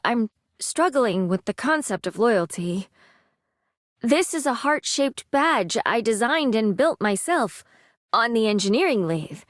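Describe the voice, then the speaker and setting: An inquisitive young female tinkerer from a game. She is earnest and literal, slow and somewhat high, with a dry studio sound. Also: no room sound. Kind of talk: scripted game character voice lines.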